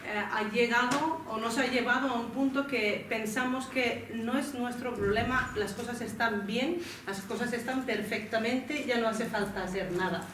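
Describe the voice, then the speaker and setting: A woman from Finland with a Finnish accent moderates a round table in a language that is not her first. She hesitates often, restarts phrases and corrects herself. Her voice reaches the mic from across an echoey room, with clear background noise. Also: on the high side, normal speed, few pauses, recorded from a distance. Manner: hesitant, searching for words, self-correcting. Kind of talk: panel talk to an audience. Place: lecture room.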